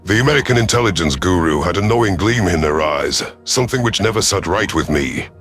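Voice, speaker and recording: A man's voice: low-pitched, animated, brisk, fairly bright tone, soft background music. A man reading a first-person science fiction story as dramatic scripted narration, in the part of a grave alien commander. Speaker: narrator of a sci-fi audio story.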